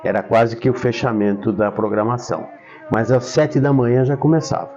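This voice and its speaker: A man's voice: medium pitch, steady tone, normal speed, a little mellow. An older man with a Brazilian Portuguese accent telling stories as an interview guest, enthusiastic and emphatic, often repeating names. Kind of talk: conversational storytelling interview. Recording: slightly dull sound, faint music behind.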